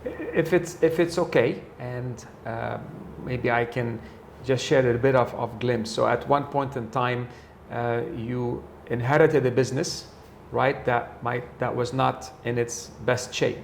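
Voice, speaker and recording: A man's voice: medium pitch, fairly even intonation, unhurried, gravelly, few pauses, slight background noise. A man hosting an interview, talking conversationally with frequent fillers. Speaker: interview host and sales trainer. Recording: echoey room, faint ambience.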